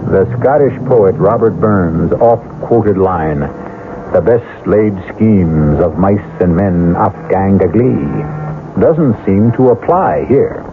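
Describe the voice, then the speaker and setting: A man hosting a radio mystery, giving measured, dramatic scripted narration. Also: deep voice, animated, background noise. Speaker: host narrator. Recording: muffled old radio broadcast with music. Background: music.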